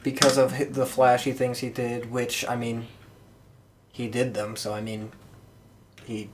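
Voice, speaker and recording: A man hosting a podcast in casual conversation, with a rambling, halting delivery. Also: medium pitch, level tone, a little hiss or hum. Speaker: podcast host. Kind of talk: casual chat.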